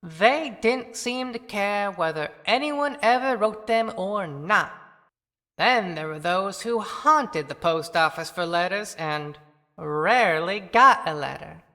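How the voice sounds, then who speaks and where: A high, bright voice in a long reverb gives us a reflective small-town postmaster, played by a man. It is an observant storytelling monologue from an audio play. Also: lively intonation, slow, heavily acted. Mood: musing.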